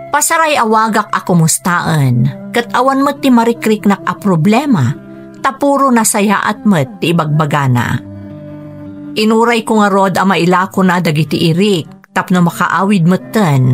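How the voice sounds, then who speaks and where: An actress playing a long-suffering, middle-aged rural wife and mother in a radio drama, with an Ilocano accent. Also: on the low side. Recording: music under the voice.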